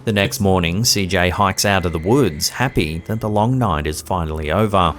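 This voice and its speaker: A man reading scripted narration in a measured, suspenseful way. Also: deep voice, fairly bright tone, faint music behind.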